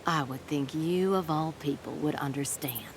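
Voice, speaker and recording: An actress playing a protective, kindly leader of a community of outcasts in a video game, measured, formal and gently firm. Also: female voice, deep voice, noisy background, close to an everyday voice.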